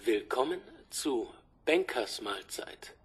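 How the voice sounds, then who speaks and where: A performer voicing an impersonal online gambling game announcer, slow and deliberate, in a radio crime drama. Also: medium pitch, very animated, soft background music.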